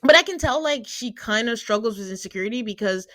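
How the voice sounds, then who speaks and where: A woman's voice: fairly high pitch, animated, fast talker, fairly bright tone, dry recording. A woman whose first language is not English, co-hosting a podcast and chatting casually about a reality show, with frequent fillers and laughs. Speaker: podcast co-host.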